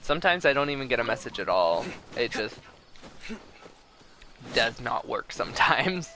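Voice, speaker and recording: A man's voice: mid-range voice, big pitch swings, moderate pace, pushed and bright. A man chatting casually on a game livestream, with faint noise and game audio behind him. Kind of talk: casual stream chat. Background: video game audio.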